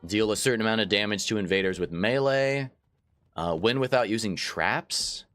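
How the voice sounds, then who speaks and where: A man's voice: fairly low pitch, normal speed, fairly bright tone, no echo. A man hosting a let's play, casually chatting to viewers with a slightly stuffy nose. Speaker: gaming channel host. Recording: faint game music behind.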